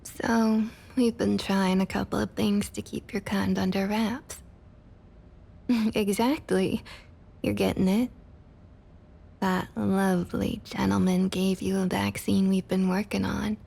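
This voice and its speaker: Girl playing a smug southern vampire lady, asmr roleplay, slow and breathy, kinda talks down to you sweetly. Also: mid-range voice, little pitch movement, heavily acted. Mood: smug, explaining. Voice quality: soft, breathy, slightly husky. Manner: unhurried, coaxing, condescending sweet talk. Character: smug, opportunistic female Southern vampire council member. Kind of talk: ASMR roleplay, intimate scripted monologue.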